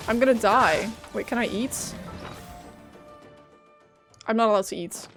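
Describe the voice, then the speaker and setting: A woman's voice: fairly high pitch, a little slow, fairly bright tone. A woman giving casual live gameplay commentary, reacting and talking back to the game's characters. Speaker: game streamer. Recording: game music behind.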